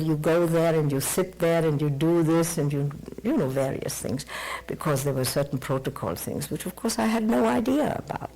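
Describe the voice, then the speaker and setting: A woman in her sixties with an Austrian-tinged British accent, recalling the past slowly and thoughtfully in an interview, with many pauses. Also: sits low, creaky, a little mellow, rough recording. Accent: Austrian-tinged British English. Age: 60s. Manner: measured and reflective, with many pauses. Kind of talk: recorded oral history interview.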